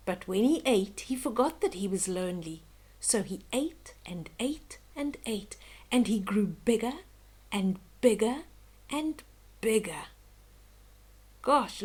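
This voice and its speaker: Older woman, storyteller, higher-pitched voice, very slow pace, gentle, expressive, children's bedtime read-aloud. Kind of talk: bedtime story read-aloud with chatty asides to young listeners.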